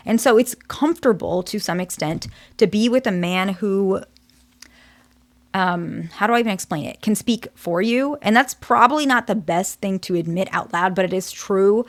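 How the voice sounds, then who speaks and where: Soft-spoken woman giving candid advice. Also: medium pitch. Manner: candid, hedging, talks in short restarting phrases. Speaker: therapist and channel host. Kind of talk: casual advice talk to camera.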